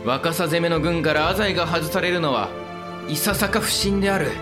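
High-pitched, earnest young samurai lord played by an actor. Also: male voice, normal speed, over music, close to an everyday voice. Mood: puzzled, probing. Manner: formal, deferential warrior speech. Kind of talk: period audio drama acting.

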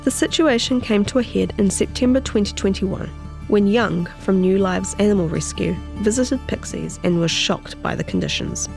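New Zealand woman narrator with creaky voice. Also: medium pitch, darkish tone. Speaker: narrator and reader of longform journalism. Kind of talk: scripted narration.

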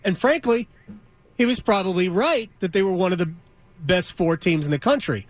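Male sports talk host, high-pitched, emphatic, conversational, dry studio sound. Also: moderate pace, no echo. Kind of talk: casual sports radio conversation. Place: radio studio. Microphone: close studio microphone.

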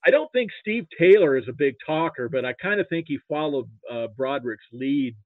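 A man's voice: on the high side, expressive, no room sound. Male interview guest from Nebraska, casual and rambling, repeats himself, narrow telephone-like sound. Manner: rambling, halting, repeats words. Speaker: interview guest, sports history archivist. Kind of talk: casual reminiscing about football.

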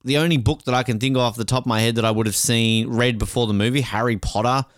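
A man's voice: medium pitch, steady tone, fast, fairly bright tone, no room sound, clean studio sound. An Australian man chatting casually as a podcast co-host, in a jokey, rambling, sweary way.

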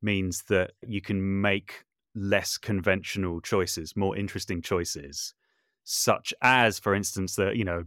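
A man's voice: sits low, fairly even intonation, slow, fairly bright tone, no room sound, clean studio sound. A man speaking reflectively as an interview guest, feeling his way through sentences and often rephrasing as he goes. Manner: thoughtful, hesitant, self-correcting with repeated words. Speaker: podcast guest, composer and voice artist.

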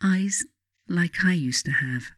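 A woman's voice: on the low side, slow pace, fairly bright tone, no echo. British woman narrating, close and dry. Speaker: author reading own book. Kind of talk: scripted audiobook narration of spiritual self-help.

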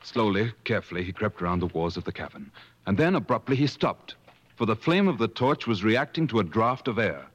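An actor playing an earnest male adventurer who narrates a safari tale in measured, dramatic 1950s radio style. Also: lowish voice, expressive, lo-fi sound. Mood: grave, suspenseful. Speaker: narrator and character in a radio play. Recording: old radio broadcast with music.

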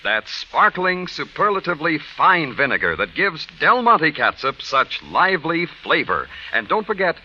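A man's voice: a little high, sing-song, normal speed, few pauses, bright, forceful tone, slight background noise. A man announcing and selling in polished, emphatic 1940s radio commercial style. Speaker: radio announcer reading sponsor ads. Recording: muffled vintage radio recording.

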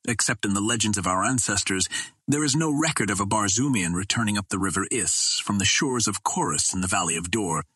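A man's voice: on the low side, a bit bright, husky, no room sound, clean studio sound. A man voicing a proud young Martian princess in an audiobook, with formal, old-fashioned, imploring speech. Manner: formal, imploring, archaic phrasing. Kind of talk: audiobook character reading.

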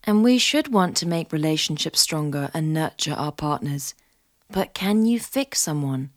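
A woman hosting a podcast, reading scripted narration and poetry in a measured, literary way. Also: sits low, lively intonation, dry recording, clean studio sound. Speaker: podcast host.

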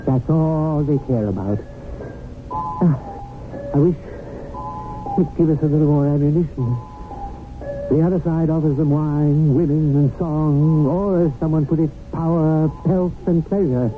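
A man's voice: fairly high pitch, unhurried, pauses often, lightly acted. A man playing an earnest, anxious agent of good who narrates his own tale in a radio drama. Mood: pleading, aggrieved. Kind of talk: radio drama acting and first-person narration. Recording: phone-like old radio recording, music underneath.

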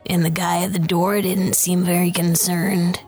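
A woman with a husky voice, playing in a tabletop role-playing podcast and chatting casually in character. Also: on the low side, little pitch movement, moderate pace, darkish tone, faint music behind. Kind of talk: casual in-character game chat.

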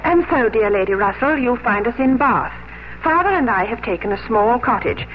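An actress playing a wistful, hopeful English gentlewoman who longs for a lost love, in 1950s radio drama style. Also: a little high, lively intonation, normal speed, close to an everyday voice. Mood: composed, polite. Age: young adult. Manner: earnest, wistful, literary phrasing. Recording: dull old radio broadcast with music.

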